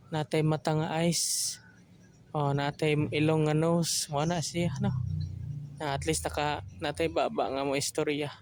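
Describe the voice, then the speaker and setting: A Filipino man hosting casually, joking as he rambles along slowly. His voice is a little high and flat in melody, with some noise on the recording. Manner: joking, colloquial. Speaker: host of an audio drama channel. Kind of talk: casual rambling intro chat.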